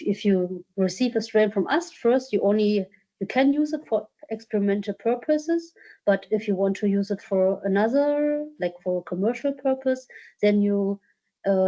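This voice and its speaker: A woman with a mellow, dark voice answers questions at a workshop. She talks in a halting way, full of fillers and restarts. The sound is dull and lo-fi. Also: medium pitch. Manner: hesitant, many fillers and restarts. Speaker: presenter from a culture collection. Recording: dull lo-fi conference audio.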